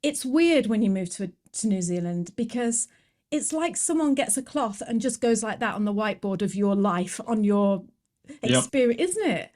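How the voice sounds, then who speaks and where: A woman hosting a relaxed podcast interview, her voice sitting a little high. She talks in a chatty, reflective way, thinking aloud and restarting her sentences as she goes. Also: normal speed. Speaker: podcast host. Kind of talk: conversational interview.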